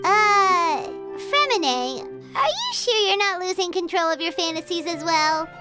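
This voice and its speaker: A woman voicing a tiny, childlike, excitable and nosy fairy companion in a video game, squeaky and exclamatory. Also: unhurried, over music, heavily acted. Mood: puzzled, doubtful. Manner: exclamatory, questioning, refers to self in third person. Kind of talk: video game character dialogue.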